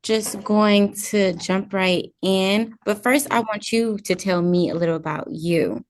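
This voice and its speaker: Young woman interviewer with vocal fry. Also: medium pitch, fairly even intonation, measured pace. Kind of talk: casual interview questions.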